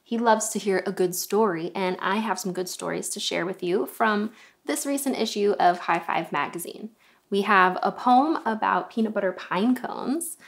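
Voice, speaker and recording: A woman's voice: medium pitch, moderate pace, creaky. A young adult woman presenting a children's story time, reading aloud and chatting in a friendly way. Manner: friendly, chatty asides to her dog. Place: living room sofa, indoors.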